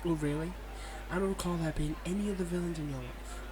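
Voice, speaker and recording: Higher-voiced cocky, flirty villain acted by a man. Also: fairly even intonation, fast talker, background noise, natural voice. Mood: teasing, insistent. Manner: smug, teasing, coaxing and mock-defensive. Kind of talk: casual audio roleplay addressed to the listener.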